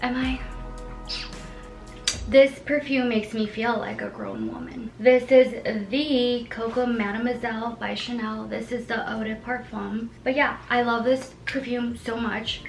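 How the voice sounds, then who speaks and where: A bubbly woman in her twenties chats her way through a beauty haul, gushing and giggling as she goes. Her voice sits a little high and her delivery is slow and unhurried. The room is echoey, with clear music playing behind her. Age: 20s. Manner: gushing, excitable, with frequent giggles. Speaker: beauty vlogger. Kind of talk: casual chatty haul vlog.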